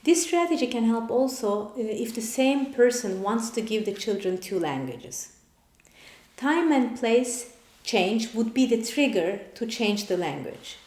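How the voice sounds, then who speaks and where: Measured woman explaining in a roomy echo. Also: fairly high pitch, big pitch swings, a little mellow. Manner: measured, explanatory, listing points one by one. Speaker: parent and channel host. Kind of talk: scripted explainer. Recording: roomy echoey room.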